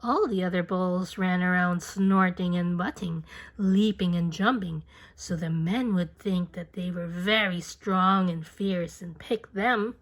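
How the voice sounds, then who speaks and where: Slow-paced woman reading a children's story. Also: lowish voice. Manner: unhurried, addresses the young listener with questions. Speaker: storyteller reading a children's picture book aloud. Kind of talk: expressive children's read-aloud narration.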